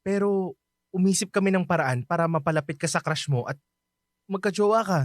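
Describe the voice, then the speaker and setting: An actor playing an excitable young Filipino man in a Taglish radio drama, campy, gossipy and dramatic. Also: high-pitched, fairly quick, no echo, natural voice. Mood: explaining. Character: Eugene, campy, excitable young male friend. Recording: dry studio, faint music behind.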